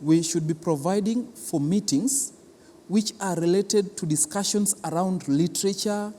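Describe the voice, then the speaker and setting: A Kenyan man with a soft, mellow voice pitched a little high explains things in an interview. He speaks deliberately and haltingly, repeating words for emphasis. The sound rings with long hall reverb. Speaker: arts institution official being interviewed. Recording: long reverberant hall, clear background noise.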